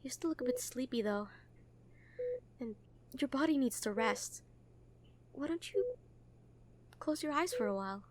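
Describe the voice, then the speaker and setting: A woman playing a kind, earnest nurse in an audio roleplay, talking to a patient in a hesitant, reassuring, conversational way. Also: high voice. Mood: soothing, playful. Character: kind, earnest, gently teasing hospital nurse comforting a patient. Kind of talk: roleplay audio acting, soft comfort talk.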